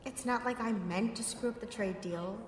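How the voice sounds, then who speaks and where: A woman's voice: on the high side, moderate pace, echoey room, long reverb tail, slight background noise, natural voice. A woman playing a cocky, sarcastic young pickpocket outlaw in a sci-fi audio roleplay, muttering wryly to herself. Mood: bitter, brooding. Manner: wry, sardonic, slangy, talking to herself.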